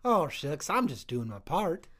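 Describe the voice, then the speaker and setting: A man voicing an eager, gullible cartoon townfish who loves food, with a folksy, excitable way of talking. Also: on the high side, measured pace, full character voice. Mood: flustered. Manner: folksy, excitable, chuckling nervously or gleefully. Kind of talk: cartoon audio drama acting.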